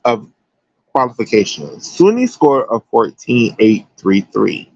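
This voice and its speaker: A man's voice: mid-range voice, big pitch swings, unhurried, a little mellow, no echo, lo-fi sound. An American man giving casual, chatty sports commentary as a livestream host, emphatic and slangy.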